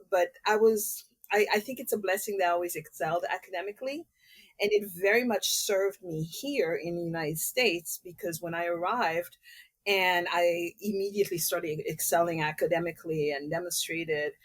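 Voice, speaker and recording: Low-voiced woman telling her story. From Haiti. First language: French. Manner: candid, personal storytelling. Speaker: guest artist. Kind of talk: casual interview.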